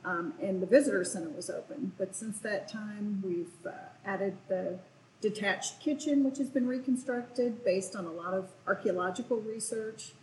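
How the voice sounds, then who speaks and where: A woman's voice: mid-range voice, a little mellow, echoey room, a little hiss or hum, poor audio. A woman, a historic site manager, answering interview questions in an informative, matter-of-fact way.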